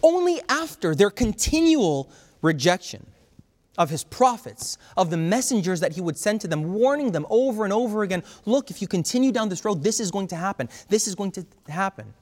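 A man preaching a sermon in a loud, fervent way, leaning on repetition for emphasis. His voice sits high for a man. It rings out with a long reverb, as in a church hall. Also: sing-song, fairly quick. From Brazil. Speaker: pastor.

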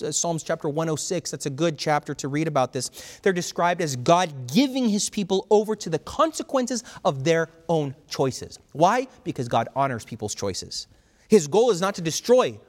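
A man preaching a sermon in a loud, fervent way, leaning on repetition for emphasis. His voice sits high for a man. It rings out with a long reverb, as in a church hall. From Brazil. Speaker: pastor.

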